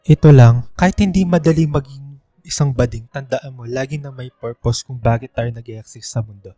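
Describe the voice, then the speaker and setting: Man playing a mellow, caring young suitor. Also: mid-range voice, moderate pace, no room sound, lightly acted. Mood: earnest, comforting. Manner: gentle, encouraging. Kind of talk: radio drama dialogue.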